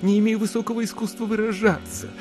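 An actor playing a flustered, deferential provincial landowner in an audio drama, stammering and apologizing in ornate, sugary phrases. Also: male voice, sits high, level tone, fast, music in the background, close to an everyday voice. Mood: bewildered, apologetic. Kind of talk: theatrical radio-play acting.

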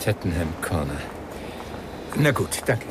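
A man's voice: low voice, sing-song, measured pace, background noise. A man playing a tense, curt father of a kidnapped boy in a German radio crime drama. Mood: wary, impatient. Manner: curt, clipped, wary.

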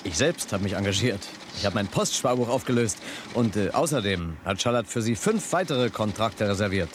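An actor as a pushy assistant, noisy background. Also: male voice, lowish voice, expressive, normal speed, close to an everyday voice. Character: pushy, self-important male assistant to a big speculator. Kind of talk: 1970s German radio play acting.

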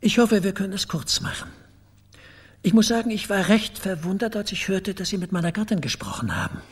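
Male former politician, radio play: pompous, clipped, indignant, high husky voice. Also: animated, fairly quick. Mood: indignant, haughty. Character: indignant, haughty male former government minister. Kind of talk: naturalistic radio play acting.

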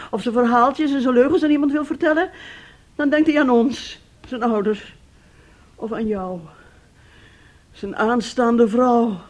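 A woman's voice: a little high, darkish tone. An actress in a radio play voicing a despairing middle-aged mother, with a brooding, anguished way of speaking. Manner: slow, brooding, anguished storytelling. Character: despairing mother, an aunt, anguished over her missing son. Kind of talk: Dutch-language radio play acting.

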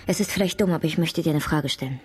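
A woman's voice: low voice, fast, over music, lightly acted. An actress playing a troubled, tender woman in love, speaking hesitantly and intimately in a radio drama. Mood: anxious, tender.

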